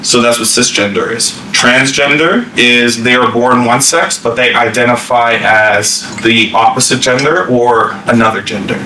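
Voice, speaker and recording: A man presents a staff training session in a casual, unscripted way, full of fillers and restarts. His voice is bright and clear, heard through a distant mic. The meeting room echoes around him and a faint hiss sits underneath. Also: medium pitch, steady tone, normal speed, few pauses. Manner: informal, many fillers and restarts. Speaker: workshop presenter.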